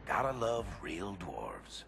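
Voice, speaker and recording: Gravelly, wisecracking dwarf man. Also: low voice, sing-song, slow pace, noisy background, full character voice. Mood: wry, joking. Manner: wry, sardonic. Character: wisecracking, boastful dwarf storyteller rogue. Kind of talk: video game dialogue. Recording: music behind the voice.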